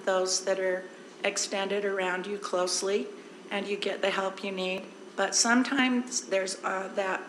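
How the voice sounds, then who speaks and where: Hoarse woman, district presenter, easygoing and explanatory, in a roomy hall with faint noise. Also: mid-range voice, a bit bright. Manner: conversational, explains with everyday examples. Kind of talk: informal presentation at a board meeting. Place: board meeting room.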